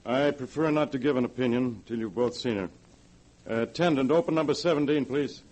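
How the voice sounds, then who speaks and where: A man playing a methodical prosecuting attorney in a 1940s radio drama, formal and deliberate in manner. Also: mid-range voice, darkish tone, lightly acted, poor audio. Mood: grave, official.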